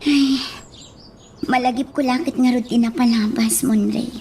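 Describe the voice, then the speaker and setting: A woman's voice: on the high side, steady tone, moderate pace, dark tone, a little hiss or hum, natural voice. A woman with an Ilocano accent playing a chatty, teasing character in a radio drama. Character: chatty woman hinting at a birthday surprise.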